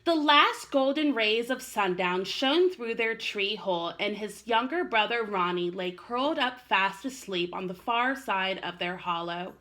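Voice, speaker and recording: Very bright-voiced woman teacher for young children. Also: medium pitch, expressive, measured pace, few pauses. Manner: addresses children directly, reassuring. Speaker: first-grade teacher. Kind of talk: read-aloud for young children, chatty address and storybook narration.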